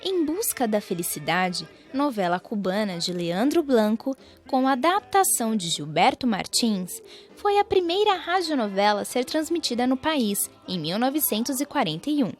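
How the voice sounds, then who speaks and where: Young woman, Brazilian accent, scripted documentary narrator, animated intonation, music underneath. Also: a little high, moderate pace. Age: young adult.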